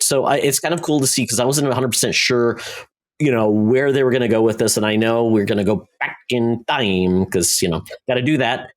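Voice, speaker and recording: A man co-hosting a podcast, giving his take on TV in a laid-back, loosely phrased casual chat. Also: medium pitch. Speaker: podcast co-host.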